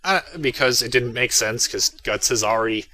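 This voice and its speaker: A man's voice: mid-range voice, moderate pace, pushed and bright. A man giving casual, chatty commentary on his own work, wandering into self-amused asides. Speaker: creator doing episode commentary. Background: music playing underneath.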